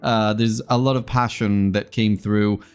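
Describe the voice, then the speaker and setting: Low-pitched man hosting a casual podcast. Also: steady tone, darkish tone, clear, resonant tone, faint music behind. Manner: hesitant, halting, repeats words. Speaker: podcast host. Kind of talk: casual interview chat.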